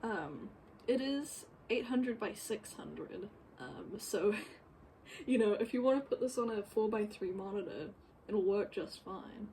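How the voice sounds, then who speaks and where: A woman giving casual, unscripted commentary while working on screen, rambling and halting as she goes. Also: medium pitch, expressive, warm tone, slight background noise, low-quality recording. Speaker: game developer recording a work-in-progress video. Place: bedroom, indoors.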